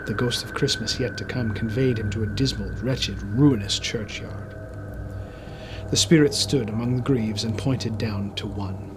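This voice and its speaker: A man's voice: on the low side, unhurried, noisy background. A man narrating a classic story as a storyteller, reading expressively from a script. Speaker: storyteller, reader.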